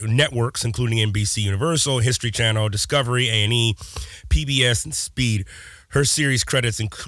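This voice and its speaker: A man with a low, hoarse voice hosts his podcast, still getting his voice back after a sore throat. He talks casually and rambles, stopping often for fillers. The sound is close and dry. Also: a bit bright, no echo. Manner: rambling, hesitant, with many fillers. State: hoarse, recovering from lost voice. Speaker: podcast host.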